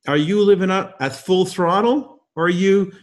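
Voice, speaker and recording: A man's voice: high-pitched, moderate pace. A man in his fifties, guest-hosting a leadership call, giving a motivational talk full of emphatic rhetorical questions. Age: 50s. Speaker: guest host.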